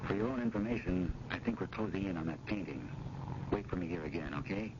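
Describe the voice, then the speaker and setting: An actor playing an earnest, anxious museum curator in a 1970s radio drama, speaking with formal, insistent urgency. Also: male voice, low voice, fast, muffled recording, natural voice. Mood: brisk, agitated. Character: John, earnest, anxious male museum curator pursuing a painting. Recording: noisy, band-limited 1970s radio broadcast.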